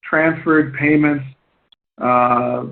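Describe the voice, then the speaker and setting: A man hosting a conference call, explaining things in a halting way, often repeating and rephrasing himself. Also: fairly high pitch, steady tone, creaky. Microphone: phone line. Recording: tinny phone line conference call.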